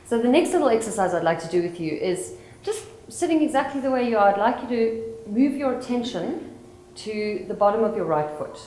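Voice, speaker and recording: Woman, South African accent, psychologist, calm guiding manner, roomy conference hall, faint ambience. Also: fairly high pitch, slight background noise. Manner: calm and guiding, slowing for instructions. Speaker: psychologist and mindfulness facilitator. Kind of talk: conference talk leading mindfulness exercises.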